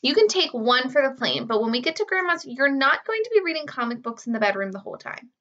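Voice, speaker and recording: A woman voicing a firm, no-nonsense mother in a storybook, brisk and insistent. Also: high-pitched, sing-song, a bit bright, lo-fi sound, slight background noise. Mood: stern.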